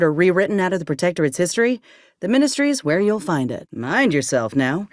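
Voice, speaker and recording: An actress playing a tough, guarded, weary former combat medic in a video game, with a folksy, blunt, sardonic way of talking. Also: female voice, fairly low pitch, no echo, studio quality. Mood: grim, matter-of-fact. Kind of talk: video game character acting.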